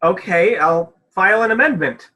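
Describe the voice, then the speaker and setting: A woman's voice: mid-range voice, moderate pace, pushed and bright, natural voice, rough recording. A woman playing a flustered, officious fairy tale narrator in a comedic radio play, exasperated and pompous. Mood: flustered, pleading. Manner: exasperated, hesitant when pleading, pompous when commanding.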